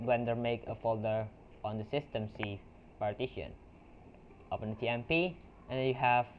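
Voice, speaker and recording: Young indonesian guy doing a software tutorial, halting english, phone-thin mic, bit of hiss. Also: mid-range voice. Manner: halting, with frequent restarts and fillers. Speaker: tutorial creator. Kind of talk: software screen-recording tutorial. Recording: tinny lo-fi mic with faint noise.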